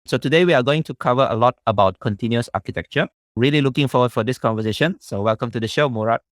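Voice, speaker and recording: A man's voice: mid-range voice, fast talker, no room sound, studio quality. A man hosting a tech podcast, giving scripted intros and sponsor reads in an upbeat way. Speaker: podcast host.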